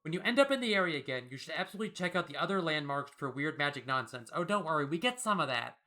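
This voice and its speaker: Man, podcast host, higher pitch, sharp bright tone, casual, wry, jokey. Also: lively intonation, racing. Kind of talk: casual chat and reading listener mail.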